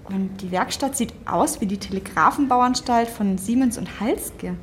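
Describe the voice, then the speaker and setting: A woman playing a curious, excitable young time traveler in a scripted audio drama. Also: on the high side, unhurried, lightly acted. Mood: amazed, nervous.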